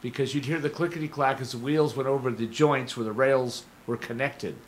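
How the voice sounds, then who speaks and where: An older man hosting a community radio show from a studio, talking casually in a rambling, digressive way while his voice is going hoarse. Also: mid-range voice, steady tone, brisk, a little hiss or hum. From Stockton, California. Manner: rambling, digressive, self-correcting. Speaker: radio host. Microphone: studio microphone.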